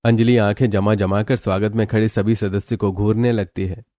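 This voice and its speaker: Mellow male cartoon story narrator. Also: fairly low pitch, steady tone, moderate pace, soft background music.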